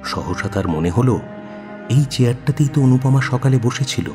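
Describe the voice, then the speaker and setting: A man's voice: medium pitch, animated, moderate pace, music in the background. A man narrating a scripted audio story expressively, in the role of narrator.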